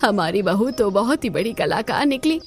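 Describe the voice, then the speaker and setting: एक औरत जो एक स्नेही, बड़ी उम्र की सास को आवाज़ देती है।. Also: female voice, medium pitch, sing-song, moderate pace, faint music behind. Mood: fond. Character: affectionate older mother-in-law.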